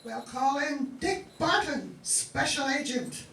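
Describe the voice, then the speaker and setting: An echoey room recording, slightly distant, with faint noise. A woman acts a high-voiced Missus Claus, bossy yet motherly, decisive and dramatic in a comic radio play. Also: animated, takes it slowly, exaggerated voice. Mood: indignant, commanding.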